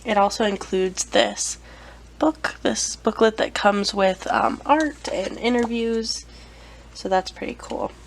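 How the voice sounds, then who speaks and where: Young adult woman, vlogger with a cold; gravelly, chatty, relaxed show-and-tell. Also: mid-range voice, slow pace, slight background noise. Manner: chatty, with frequent ums and self-corrections. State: has a cold. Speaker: collector showing her anime collection. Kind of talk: casual show-and-tell vlog.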